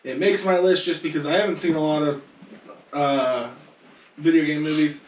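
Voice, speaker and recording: A man's voice: a little high, fairly even intonation, normal speed. A man hosting a casual group countdown podcast, bantering with the others. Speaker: podcast host running the countdown. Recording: roomy echo, distant mic.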